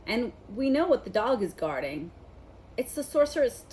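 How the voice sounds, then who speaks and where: A woman voicing a blunt, excitable know-it-all schoolboy in a storybook, speaking emphatically. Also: on the high side, moderate pace, slight background noise, rough recording. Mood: boastful.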